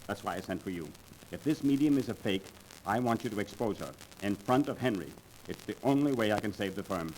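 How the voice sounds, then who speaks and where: A man's voice: lowish voice, animated, darkish tone, natural voice. An actor playing an earnest, worried businessman in a 1930s radio drama, on a noisy vintage recording. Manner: brisk, earnest. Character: worried businessman urging exposure of a swindle.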